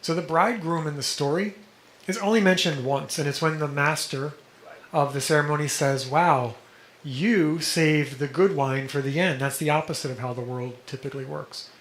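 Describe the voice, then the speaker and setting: A man teaching a study group, explaining texts and often checking in with listeners, a little hoarse and clearing his throat. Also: fairly high pitch, normal speed, fairly bright tone. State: slightly hoarse, coughing. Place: indoor room with a vent. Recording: echoey room with background noise.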